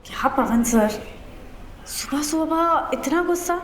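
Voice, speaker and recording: Casting: coy, unflustered seductress, high voice, web drama, echoey room with music behind. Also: female voice, lively intonation, slow pace, slight background noise. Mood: teasing. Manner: teasing, coy, self-assured. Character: playful, flirtatious, unflustered woman telling her own scandalous story. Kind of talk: Hindi web series acting.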